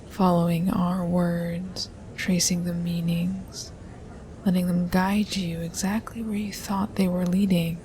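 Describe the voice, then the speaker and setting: A woman speaking as a hypnotist in a hypnosis ASMR style, in a hushed half-whisper with coaxing, questioning turns. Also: fairly low pitch, a little hiss or hum. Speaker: hypnotist in a collaborative audio roleplay. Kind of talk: hypnosis ASMR, slow guiding patter.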